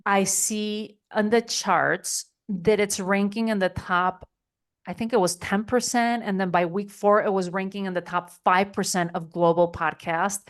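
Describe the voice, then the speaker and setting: A middle-aged woman from Spain, a podcast guest talking in a chatty way with many "like" and "you know" fillers. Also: medium pitch. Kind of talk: interview conversation.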